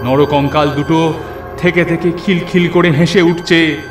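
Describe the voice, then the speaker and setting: A man's voice: fairly high pitch, steady tone, unhurried. A man narrating a scripted horror story in the first person, theatrical and suspenseful. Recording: echoey, music underneath.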